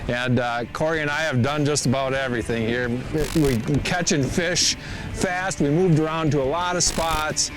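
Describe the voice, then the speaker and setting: A man hosting a fishing show, chatting excitedly and laughing often while out on a fishing boat on a lake. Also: on the high side, normal speed, poor audio. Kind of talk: casual chat while fishing.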